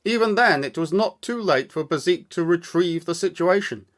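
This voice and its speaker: A man reading a story aloud as scripted narration, with measured, deliberate, dramatic phrasing. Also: sits high, lively intonation. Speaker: audiobook storyteller, actor.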